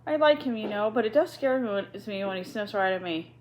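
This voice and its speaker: A woman's voice: mid-range voice, lively intonation, brisk, a little mellow, a little hiss or hum, lightly acted. A woman reading aloud voices a timid, nervous young schoolgirl with an anxious, breathless manner.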